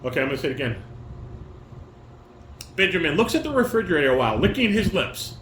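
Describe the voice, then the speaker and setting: Dad-type man running a home table read. Animated pitch, halting reading, frequent self-corrections, roomy sound with some noise. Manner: halting reader, corrects and repeats himself. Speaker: father directing a family table read. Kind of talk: reading stage directions and coaching. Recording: roomy, noisy home recording.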